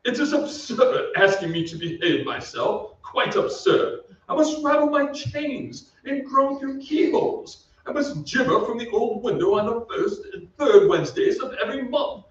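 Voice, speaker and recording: A man's voice: very high voice, sing-song, normal speed, slight background noise. A man playing a pompous, melancholy centuries-old aristocratic ghost with archaic, theatrical diction in an old-time radio drama. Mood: haughty, indignant. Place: on stage in a theatre. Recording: distant, echoey stage recording, muffled.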